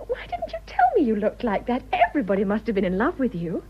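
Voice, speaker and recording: A woman's voice: fairly high pitch, wildly swooping pitch, fast, muffled recording, slight background noise. An actress playing a sweet, impulsive young lady in a British radio drama, effusive and gushing, with a British accent. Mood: delighted, admiring.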